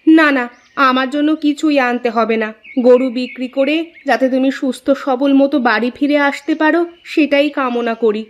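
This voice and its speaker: A woman's voice: high voice, moderate pace, lightly acted. A woman voicing a poor farmer's devoted, fussing wife in a moral-story cartoon, on a dull recording. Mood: worried, caring.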